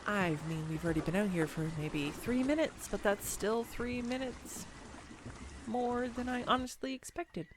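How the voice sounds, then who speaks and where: A woman playing a clumsy, excitable young woman on a first date in a roleplay audio, with a bubbly, hesitant, playfully teasing manner. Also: fairly high pitch, a little slow, background noise, natural voice. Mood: fond, amused. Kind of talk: scripted girlfriend roleplay audio, intimate one-sided dialogue.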